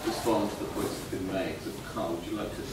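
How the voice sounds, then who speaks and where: A man's voice: on the low side, fairly even intonation. A man chairing a seminar panel, politely hurrying things along. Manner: polite, hurried. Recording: echoey hall, distant mic, background noise.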